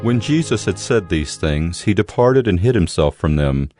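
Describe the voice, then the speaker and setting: Deep-voiced man narrating scripture. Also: lively intonation, normal speed. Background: music.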